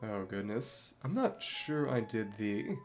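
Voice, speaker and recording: A wry game streamer talks casually while playing, thinking aloud. The delivery is slow but swings widely in pitch, with faint music behind it. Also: animated. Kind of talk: casual livestream chat over gameplay. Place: indoors at a computer.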